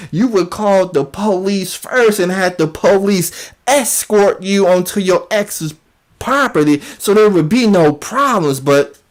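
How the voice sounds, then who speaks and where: Slow-paced YouTube commentator for a mocking call-out piece; incredulous, repeats phrases to hammer them home. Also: medium pitch. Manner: emphatic, repetitive, incredulous.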